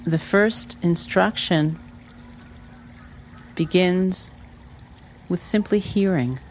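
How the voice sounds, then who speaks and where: A meditation teacher giving guided instruction in measured, short phrases with pauses between them. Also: medium pitch, slight background noise. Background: trickling water ambience.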